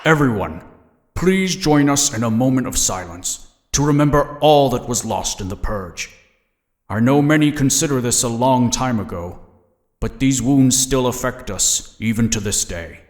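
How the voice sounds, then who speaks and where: An earnest, ceremonious alien leader played by a man addresses a gala in a sci-fi audio drama. The voice sits at a middle pitch and rings out with long hall reverb. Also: expressive, measured pace, natural voice. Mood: solemn. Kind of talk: rousing formal public speech, sci-fi audio drama.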